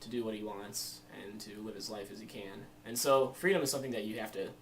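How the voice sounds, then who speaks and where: A young American man with a lowish voice talks through his opinions informally. He rambles and hesitates, thinking as he goes. He sounds set back from the microphone, with faint noise around him. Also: quick pace. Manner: rambling, hesitant, reflective, peppered with "you know". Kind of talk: informal interview answers. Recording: distant mic, faint room noise.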